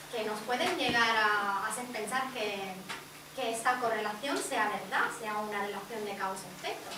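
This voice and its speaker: Italian-accented woman presenter, high and bright, speaking to a group from across a reverberant room. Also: background noise. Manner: explanatory, addresses audience directly. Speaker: workshop presenter. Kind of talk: explanatory talk to a group. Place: indoor workshop room. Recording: echoey room, distant mic.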